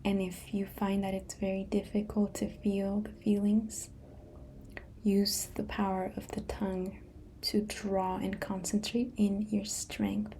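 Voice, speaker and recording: A woman speaking in a soft unvoiced whisper, gently guiding a meditation and sharing a personal story. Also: mid-range voice, monotone, measured pace, a little hiss or hum.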